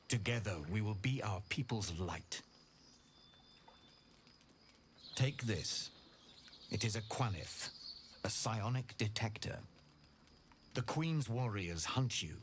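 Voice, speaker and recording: Game role: grave rebel warrior knight, formal and measured, lowish register, very unhurried. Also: male voice, fairly bright tone, a little hiss or hum. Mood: grave, rallying. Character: solemn githyanki rebel knight, urgent alien revolutionary. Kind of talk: dramatic video game acting. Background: background music.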